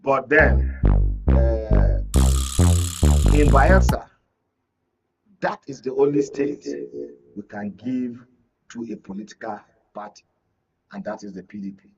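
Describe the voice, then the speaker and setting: A man's voice: mid-range voice, big pitch swings, takes it slowly, pauses often, faint music behind. A Nigerian man giving emphatic political analysis in a discussion, repeating points and checking that the listener follows. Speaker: media analyst and guest. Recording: muffled, distant mic, lo-fi sound.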